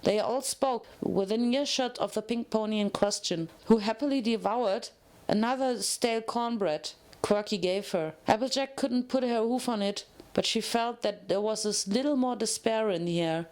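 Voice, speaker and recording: Woman narrating a story, slightly halting. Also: mid-range voice, darkish tone. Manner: halting reading with stumbles. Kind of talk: scripted fan fiction narration.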